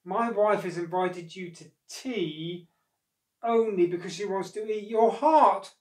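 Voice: mid-range voice, very animated, moderate pace, voice pushed up, lightly acted. Storyteller doing a flustered, stammering male crocodile. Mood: guilty. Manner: stammering, hesitant. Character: soft-hearted, flustered male crocodile, eager to please. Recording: roomy echo, distant microphone.

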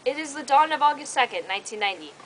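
A man's voice: very high voice, expressive, racing, bright, forceful tone, full character voice. A young man acting an earnest, declamatory soldier in an amateur history skit, recorded outdoors on a distant camera. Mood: solemn. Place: outdoors in suburban yards. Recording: noisy amateur outdoor camcorder sound.

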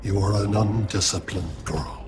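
A man's voice: fairly low pitch, steady tone, measured pace, slight background noise, lightly acted. A man playing a cold, arrogant alien android villain in a video game, detached, precise and imperious. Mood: clinical disdain. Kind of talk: video game character acting. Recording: music under the voice.

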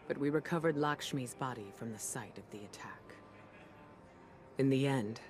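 An actress playing a composed, regretful middle-aged woman leader, speaking gravely and with measure in a video game. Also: rumbling low, background noise. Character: composed, regretful female military spymaster and leader. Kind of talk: dramatic video game monologue.

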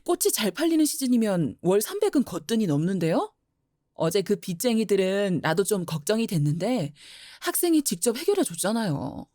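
A woman playing a gentle, teasing mother. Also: mid-range voice, big pitch swings, brisk, no echo, clean studio sound, close to an everyday voice. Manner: gentle, teasing, laughs often. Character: warm mother who runs a flower shop. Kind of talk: audio drama acting.

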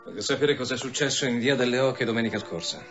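A man's voice: mid-range voice, quick pace, a bit bright, natural voice. An actor playing a dogged, terse male police commissioner in a radio drama, speaking insistently. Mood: grimly insistent. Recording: muffled radio drama sound, music behind.

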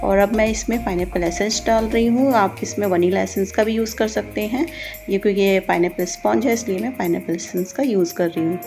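A woman's voice: mid-range voice, brisk, a little mellow, soft background music. A woman giving informal step-by-step instructions as a cooking channel host. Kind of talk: recipe voice-over, step-by-step instruction.

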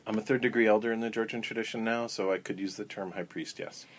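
A man guest in an interview, talking in a relaxed, rambling way with many ums and restarts. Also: on the low side, fast. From Florida. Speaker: interview guest, coven elder. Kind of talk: casual storytelling interview.

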